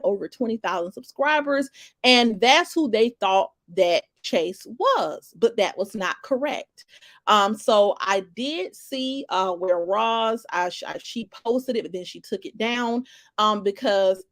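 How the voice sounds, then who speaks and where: A woman's voice: a little high, no room sound, studio quality. A woman giving casual live commentary, greeting viewers and rambling through restarts and fillers. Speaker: livestream commentator.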